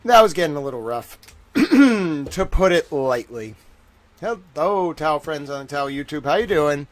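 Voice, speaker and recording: A man's voice: a little high, expressive, normal speed, no room sound. A man hosting a livestream in a loose, chatty way, his voice tired. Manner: chatty, loose, greets chat viewers. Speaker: livestream host. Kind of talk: casual rambling livestream chat.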